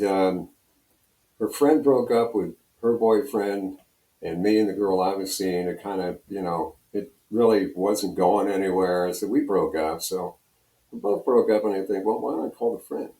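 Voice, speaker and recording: A mature American man with a very low, soft-edged voice tells stories in a loose, meandering, conversational style. He sounds some distance from the microphone in an echoey room. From Pennsylvania, US. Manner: rambling, conversational, repeats you know. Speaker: radio program director and personality, interview guest. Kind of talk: casual storytelling in interview. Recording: roomy echo, distant from mic.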